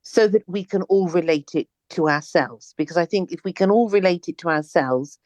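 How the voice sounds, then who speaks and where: Deep-voiced woman host, dry sound. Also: darkish tone, no echo, dull audio. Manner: halting, searching for words. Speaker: podcast host. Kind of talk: podcast interview.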